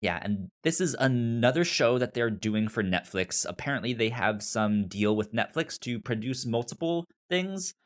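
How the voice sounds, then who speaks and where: Casual podcast host going over tv stuff, middle pitch, kinda stumbles and repeats words. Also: lively intonation, normal speed, hardly any pauses. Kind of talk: casual TV show discussion.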